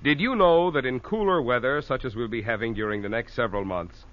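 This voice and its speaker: A man's voice: medium pitch, fairly quick, over loud music. A man reading a scripted vintage radio commercial as the announcer. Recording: muffled, faintly noisy old radio recording.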